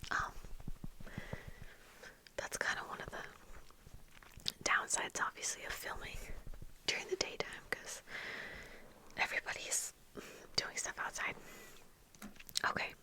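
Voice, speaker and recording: A young adult woman whispering softly and breathily into a close microphone in casual, rambling, unhurried ASMR chat. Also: low-quality recording. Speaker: ASMR video creator. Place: small room at home with bookshelves. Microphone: close desk microphone with fluffy windscreen.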